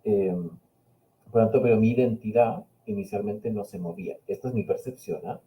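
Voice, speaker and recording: A man's voice: fairly low pitch, moderate pace, warm tone, a little hiss or hum, rough recording. A middle-aged man from Spain, a Catalan speaker whose Peninsular Spanish has blended with Chilean Spanish, answering questions at a webinar in a reflective, hesitant way with many fillers. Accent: Peninsular Spanish mixed with Chilean Spanish. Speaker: invited speaker, university linguistics professor. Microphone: video call.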